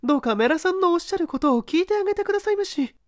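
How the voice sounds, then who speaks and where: Guy doing a posh married lady begging politely in an audiobook, way high and quick. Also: expressive, dry recording, clean studio sound. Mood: pleading. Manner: polite, imploring. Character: genteel pleading married lady. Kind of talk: audiobook character acting.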